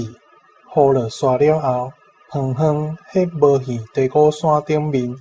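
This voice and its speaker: A male teacher with a Taiwanese accent recites a literary line. His voice is mellow and dark at an average pitch, with faint ambient noise on the recording. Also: low-quality recording. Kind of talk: reciting a translated literary sentence.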